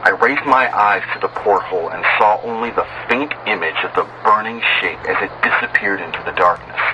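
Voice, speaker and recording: A man narrating a horror tale in the first person as a doomed, despairing sailor, grave and deliberate, with dramatic pauses. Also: fairly low pitch. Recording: clearly noisy bed of ambient sound.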